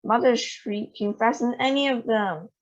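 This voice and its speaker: A woman voicing a frantic middle-aged mother who wails in shrill alarm. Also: normal speed, muffled recording, close to an everyday voice.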